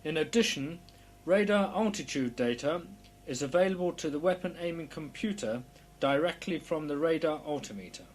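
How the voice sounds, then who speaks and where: A man reading a scripted technical instructional narration in a formal, measured, precise explanatory manner. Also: expressive, poor audio. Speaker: narrator of a technical training film. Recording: clear background noise with low hum.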